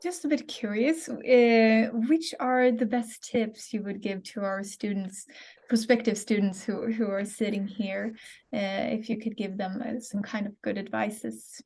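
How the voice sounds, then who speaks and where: A woman's voice: mid-range voice, steady tone, a little slow, a little mellow. A woman hosting a webinar, politely asking the presenter questions. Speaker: webinar host. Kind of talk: moderating a webinar. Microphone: video call.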